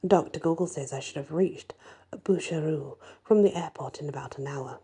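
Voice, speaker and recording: A woman reads a first-person fantasy story in an ASMR style, her voice soft, husky and breathy. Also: low voice, moderate pace. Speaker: audiobook-style story reader.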